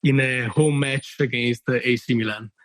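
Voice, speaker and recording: A man's voice: mid-range voice, fairly even intonation, no echo, rough recording. Hesitant man in his thirties. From Italy. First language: Italian. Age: 30s. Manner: halting, many fillers, swears and laughs freely. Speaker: listener guest. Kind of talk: casual podcast storytelling.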